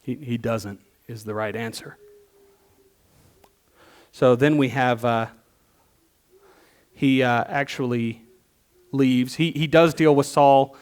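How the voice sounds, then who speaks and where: A man giving a sermon as worship leader, teaching in a conversational way, asking questions and swinging between emphatic bursts and quiet asides. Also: mid-range voice, fairly even intonation, takes it slowly, pauses often, smooth.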